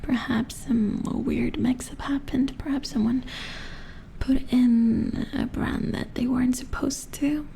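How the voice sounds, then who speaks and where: A woman playing a calm, matter-of-fact robot repair engineer in a soft-spoken ASMR roleplay, murmuring as if thinking aloud in a breathy whisper. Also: high voice, slow pace, exaggerated voice. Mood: matter-of-fact, explaining. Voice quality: breathy whisper with creaky edges.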